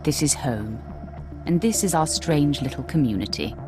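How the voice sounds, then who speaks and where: An actress playing a poised, deadly Sith apprentice in a sci-fi game, speaking in a measured, formal, confident manner. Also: female voice, deep voice, expressive, over music. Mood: composed. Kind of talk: dramatic sci-fi video game acting.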